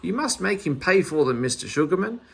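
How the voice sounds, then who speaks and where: A man's voice: on the high side, sing-song, a little fast, creaky, fairly bright tone, slightly rough, voice pushed up, heavily acted. A man voicing a meddling, self-important busybody in a children's story, eager and pompous. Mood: indignant. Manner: obliging, pompous.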